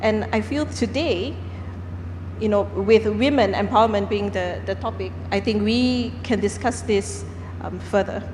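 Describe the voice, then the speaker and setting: A woman's voice: mid-range voice, a little slow, a little mellow. A woman from Singapore speaking on a forum panel, earnest, with frequent fillers and restarts. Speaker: panel speaker, company founder. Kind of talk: panel discussion. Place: large conference hall. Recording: echoey hall, faint background noise.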